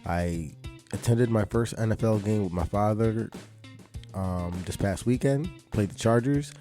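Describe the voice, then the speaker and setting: A man hosting a podcast, talking through the intro and announcements in an easygoing, conversational way, a little hoarse. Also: on the low side, unhurried, dark tone, soft background music. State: slightly hoarse. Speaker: podcast host.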